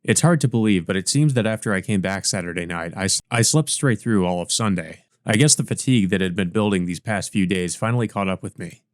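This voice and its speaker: A man with a fairly low voice reads a visual novel aloud as a let's play host. His delivery mixes narration with casual comment, recorded dry and close. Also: a little fast, few pauses, no echo.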